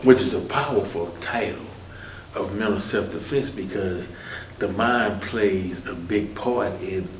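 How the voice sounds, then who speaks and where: A man's voice: lowish voice, a little slow. Man host, affirming, street noise. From United States. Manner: agreeable, chimes in with short affirmations. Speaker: radio show host, interviewer. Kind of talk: casual conversational interview. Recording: distant mic with loud street and car noise.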